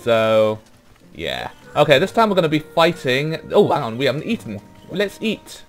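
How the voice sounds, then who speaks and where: A man's voice: fairly high pitch, big pitch swings, normal speed, faint music behind. Laid-back young Welsh man gaming. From Wales. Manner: rambling, laid-back, trails off with ums. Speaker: gaming YouTuber. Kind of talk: casual let's play commentary.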